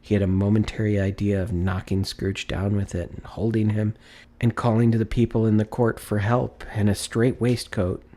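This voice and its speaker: A man narrates a classic storybook. His voice sits on the low side, and he delivers the scripted prose expressively, in a theatrical storytelling manner. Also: level tone, normal speed, warm tone. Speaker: audiobook narrator.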